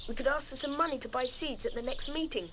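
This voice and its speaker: A woman's voice: high voice, quick pace, a bit bright, a little hiss or hum. A woman playing a sensible, encouraging English schoolboy in an audio drama. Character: sensible, encouraging schoolboy prefect who loves gardening. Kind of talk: full-cast audio drama acting.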